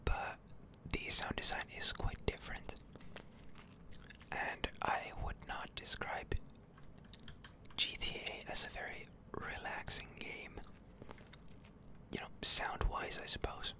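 A game streamer speaking in a breathy, unvoiced whisper, giving soothing ASMR commentary with drawn-out words. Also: bright, forceful tone. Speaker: video game streamer and channel host.

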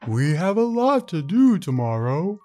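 A man reading a children's picture book aloud, playful and animated. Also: on the high side, measured pace, soft background music. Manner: animated, playful voices for characters. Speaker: puppet show host reading aloud.

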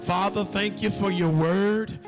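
A man in his forties with a Southern US accent, preaching a sermon at a pulpit with a handheld mic and reading scripture slowly and deliberately. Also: high voice, steady tone. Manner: leads the congregation in call-and-repeat, reads scripture slowly and deliberately. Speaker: pastor. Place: large church sanctuary, standing at a pulpit. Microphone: handheld microphone. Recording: church hall with long reverb, faint music.

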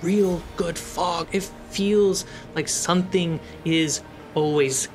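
Slow, fairly high-voiced male game master. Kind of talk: narrating a tabletop fantasy game. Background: music.

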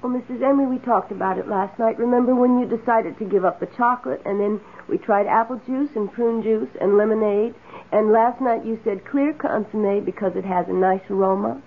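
An actress playing a deferential, matter-of-fact housemaid in an old-time radio drama, polite and reserved. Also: female voice, on the high side, a little fast. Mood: dutiful. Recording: muffled lo-fi radio drama recording.

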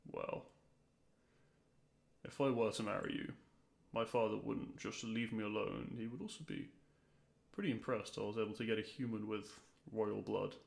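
A man's voice: on the low side, gravelly. A man playing a grumpy, weary dragon who is secretly fond, speaking to the listener in an exasperated, dry way in an audio roleplay. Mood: musing, tempted.

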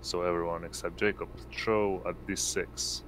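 A man running an online tabletop game as game master, speaking haltingly and hesitantly. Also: sits low, level tone, a bit bright, a little hiss or hum. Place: indoors at home, online voice call.

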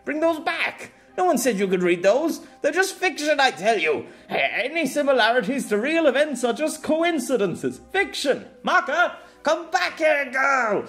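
A man voicing a flustered, gruff grey-haired male novelist in an audiobook, with a halting, stammering manner. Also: very high-pitched, sing-song, a bit bright, pitched above the performer's own voice, heavily acted. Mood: embarrassed, pleading. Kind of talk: amateur audiobook character acting.

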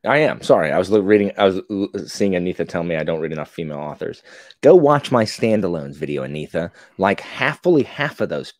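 A man's voice: deep voice, fairly quick, dry recording, clean studio sound. A man in his forties chatting casually on a video call as a podcast guest, rambling and interrupting himself. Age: 40s. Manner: animated, rambling, self-interrupting.

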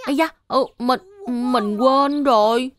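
A woman's voice: high voice, slow pace, very noisy. A woman voicing an earnest, polite little boy in a dubbed children's cartoon. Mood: flustered. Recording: music behind the voice.

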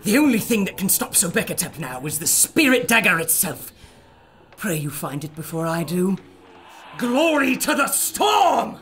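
An actor playing a gloating, villainous cultist in an audio adventure serial, declaiming in a grandiose, drawn-out way. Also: male voice, sits high, very animated, fairly bright tone, a little hiss or hum. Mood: gloating, ominous.

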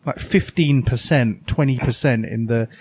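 A man's voice: mid-range voice, normal speed, darkish tone, slightly rough. A man co-hosting a podcast, chatting casually and giving opinions with emphasis. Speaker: podcast co-host. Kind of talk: casual retro gaming chat.

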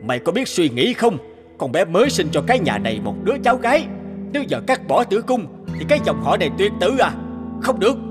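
A man's voice: high-pitched, very animated, fast, music in the background. An actor playing a stubborn, domineering older father-in-law in a cartoon, brusque and blustering. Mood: stubborn, demanding. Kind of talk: animated cartoon voice acting.

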